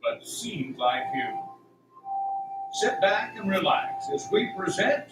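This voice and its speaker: A man narrating a radio play as a scripted story, in a theatrical, deliberate, suspenseful way. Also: high-pitched, very animated. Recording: roomy, distant, music behind. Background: music.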